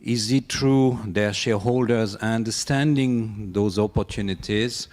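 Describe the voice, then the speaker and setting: A man in the audience with a low voice, putting a question to the panel and pausing with "uh" between phrases. His voice echoes around a large conference hall. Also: darkish tone. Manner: hesitant, with "uh" pauses. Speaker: audience member. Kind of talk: asking a question.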